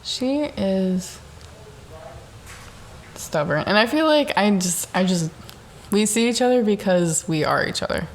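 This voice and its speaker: A young woman hosting a solo talk show, rambling through self-reflection at home in a dark apartment, self-mocking and jumping from thought to thought. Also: medium pitch, lively intonation, unhurried, pauses often, a little hiss or hum. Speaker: podcast host.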